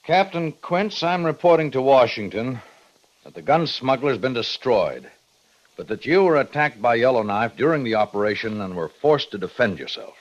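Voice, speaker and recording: A man's voice: mid-range voice, expressive, normal speed, lightly acted. A man acting a formal, awkward army major in a 1950s radio western, hemming slightly, with an American accent. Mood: formal, hesitant. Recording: dull 1950s radio recording.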